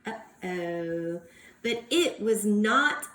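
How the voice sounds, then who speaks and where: A woman's voice: mid-range voice, animated, very slow, a bit bright, reverberant. A woman teacher reading aloud to young children, encouraging them with questions and exaggerated enunciation. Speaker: early-years teacher.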